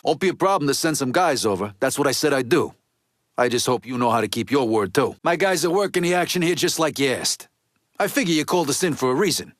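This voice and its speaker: An actor playing a hard-boiled, bitter mobster in a video game, talking in a blunt, streetwise way full of slang and swearing. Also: male voice, fairly high pitch, fairly bright tone, no room sound, studio quality, close to an everyday voice. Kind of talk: video game character dialogue.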